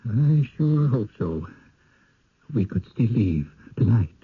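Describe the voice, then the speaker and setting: An actor playing a fearful, folksy village local with a rustic Down East accent and a halting, ominous delivery in a radio drama. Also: male voice, on the low side, sing-song, slightly rough, heavily acted. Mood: uneasy, pleading. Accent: Down East New England. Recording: muffled, phone-like old radio recording.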